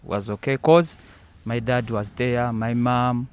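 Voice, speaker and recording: A young man from Uganda with a Ugandan accent answering interview questions, earnest and soft-spoken in short phrases. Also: medium pitch, steady tone, slow, clean tone. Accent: Ugandan English. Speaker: footballer guest.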